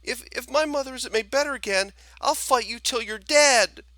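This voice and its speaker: Man voicing a frantic, high-pitched stammering young boy. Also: moderate pace, pushed and bright, no room sound. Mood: angry, defiant. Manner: stammering, babbling, repeating words. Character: frantic, remorseful young boy pleading for help. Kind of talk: storybook character voice.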